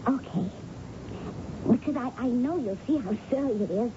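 Nervous American waitress played by a woman, hesitant and rambling, swooping pitch, 1970s radio drama, muffled noisy recording. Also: expressive, normal speed, natural voice. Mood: anxious, confiding. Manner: hesitant, rambling, second-guessing herself. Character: nervous, hesitant waitress reporting a suspicion to police.

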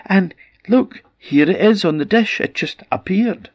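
A man's voice: sits high, lively intonation, a little slow, no echo, highs cut off, pitched above the performer's own voice. A man voicing a poor old peasant woman in a folk tale, pleading and complaining. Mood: excited.

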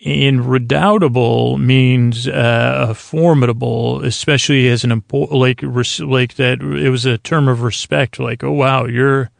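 A man hosting a bedtime podcast, talking in a meandering, halting monologue that circles back on itself, with a creaky voice. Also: mid-range voice, fairly even intonation, no echo. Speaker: podcast host. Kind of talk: rambling bedtime-story podcast intro monologue.

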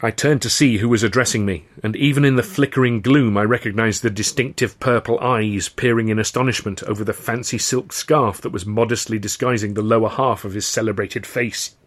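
A man's voice: lowish voice, fairly even intonation, a little fast, hardly any pauses. A man reading his own novel aloud in scripted narration, dry and wry. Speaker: author and narrator.

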